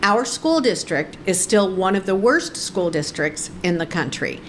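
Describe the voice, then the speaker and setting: A woman's voice: medium pitch, sing-song, unhurried, slight background noise. A middle-aged woman from Colorado, a guest on an interview, speaking in a firm, passionate, emphatic way. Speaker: guest, advocate.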